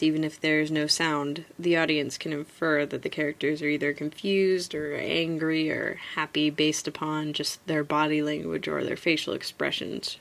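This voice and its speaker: A woman's voice: low-pitched, gravelly. A young American woman giving an informal explanatory presentation, like a student teacher talking through a lesson.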